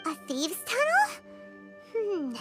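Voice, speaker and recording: A woman voicing a tiny, childlike, excitable fairy companion in a game, with a squeaky voice. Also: slow, full character voice. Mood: curious. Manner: talks of herself in third person. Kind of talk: scripted game voice acting. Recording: studio voice over game music.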